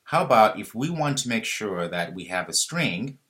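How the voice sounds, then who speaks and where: Deep-voiced man teaching code. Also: moderate pace. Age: 30s. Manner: relaxed explaining, thinking aloud with fillers and self-corrections. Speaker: programming instructor. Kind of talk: screen-recorded coding tutorial.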